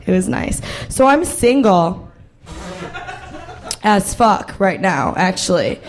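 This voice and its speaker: A young woman performing a stand-up comedy set on stage, brash and profane, with a hoarse voice that carries. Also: medium pitch, sing-song, takes it slowly. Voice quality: hoarse, carrying voice. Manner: brash, profane, self-mocking. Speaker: stand-up comic. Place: comedy stage with live audience.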